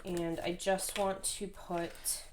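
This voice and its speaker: A woman in her thirties with a hoarse voice, chatting casually and rambling while she plans. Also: lowish voice, level tone, slow pace, a little hiss or hum. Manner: chatty, rambling, self-deprecating. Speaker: planner vlogger. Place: at home, indoors.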